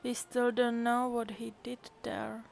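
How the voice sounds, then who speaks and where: A woman's voice: on the high side, little pitch movement, measured pace, vocal fry. A woman streaming a game, drawling and amused as she muses aloud. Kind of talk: casual commentary over a game.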